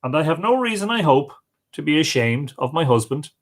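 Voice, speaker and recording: Man voicing a bossy wife, pitched up. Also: very animated. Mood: welcoming, proud. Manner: brisk, scolding and assured. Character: bold, bossy, shrewd Irish giant's wife.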